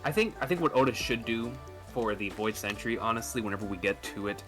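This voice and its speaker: A man's voice: lowish voice, quick pace, over music. A man in a casual podcast chat, laying out his ideas eagerly and emphatically.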